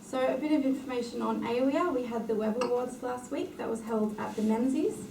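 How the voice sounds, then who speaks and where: A woman hosting a tech meetup, briskly running through announcements and introducing the speaker. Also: on the high side, gravelly. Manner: brisk, reads housekeeping notes with many "uh" fillers. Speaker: meetup host. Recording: echoey room from far off with background noise.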